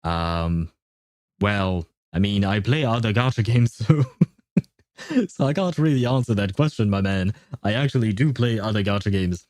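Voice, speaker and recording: A man's voice: fairly low pitch, moderate pace, a little mellow, no echo, clean studio sound. A man hosting a casual gaming livestream, rambling and interrupting himself as he chats and grumbles playfully with viewers. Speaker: livestream host, gaming streamer.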